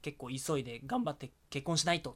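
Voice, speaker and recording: A man's voice: fairly high pitch, expressive, a little fast. A man, co-hosting a podcast in casual, opinionated chat. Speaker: podcast co-host.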